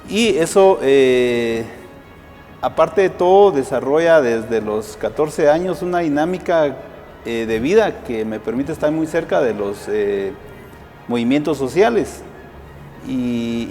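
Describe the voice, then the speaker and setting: A man's voice: medium pitch, darkish tone. Interview guest, Guatemalan man, hesitant with many fillers, long reverb, faint music. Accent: Guatemalan Spanish. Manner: hesitant, frequent "eh" fillers and restarts. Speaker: guest, civil society activist. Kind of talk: interview answers.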